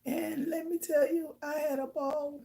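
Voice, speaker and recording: Hoarse, raspy-voiced woman chatting slowly. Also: sits high, flat delivery, dark tone, a little hiss or hum. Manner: rambling, filler-heavy storytelling. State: hoarse from shouting. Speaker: livestream host. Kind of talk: casual chat to viewers.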